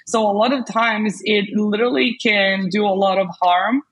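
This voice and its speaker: A woman talks as a guest on an interview show, with a slightly husky, breathy edge to her voice. She rambles in a loose, chatty way, full of "like" and quick restarts. Her tone stays easygoing and conversational. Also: mid-range voice, fairly even intonation. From Serbia. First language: Serbian. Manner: chatty, many fillers and restarts, laughs often. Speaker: guest, language specialist. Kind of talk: casual interview chat.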